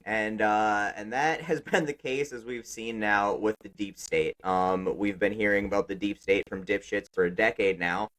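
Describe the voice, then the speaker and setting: A man's voice: lowish voice, normal speed, few pauses, fairly bright tone, rough recording. A man hosting a casual political podcast, sarcastic and profane, laughing between remarks. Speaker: podcast host.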